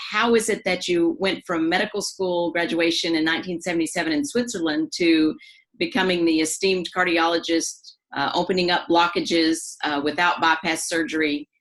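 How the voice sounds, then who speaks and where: A woman's voice: on the low side, fairly bright tone. Polite woman hosting a video interview. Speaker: host. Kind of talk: interview introduction.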